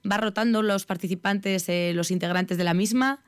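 Woman interviewer, mid-pitched, dry close mic, plenty of short affirmations. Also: steady tone, moderate pace, smooth, dry recording. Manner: brief backchannel affirmations. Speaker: radio interviewer.

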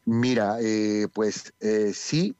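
A man's voice: lowish voice, very slow, a little mellow, smooth, no echo, muffled recording. A man with a Peruvian accent who directs community theatre, answering interview questions in a rambling way with frequent hesitations. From Peru.

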